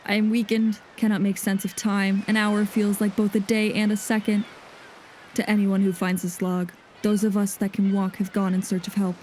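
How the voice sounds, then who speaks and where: A woman's voice: medium pitch, flat delivery, normal speed, darkish tone, close to an everyday voice. A woman playing a grave, haunted nineteenth-century sea captain reading a log in solemn, formal diction for an audio drama. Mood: weary, desperate. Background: music.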